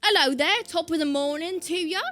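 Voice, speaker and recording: A woman's voice: very high voice, a little fast, over music. A woman doing an exaggerated put-on British accent, giving theatrical, playful reaction commentary and repeating phrases for emphasis. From United States. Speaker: host of a reaction show.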